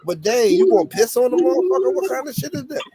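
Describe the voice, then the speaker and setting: A man's voice: extremely high pitch, very animated, fast, a loud crowd. A man in a casual chat who talks emphatically, repeats himself and exclaims.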